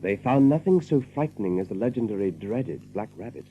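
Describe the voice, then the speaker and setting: A man's voice: lowish voice, animated, a little fast, dark tone, husky, highs cut off. A man narrating a story as scripted, dramatic storytelling for an audio drama.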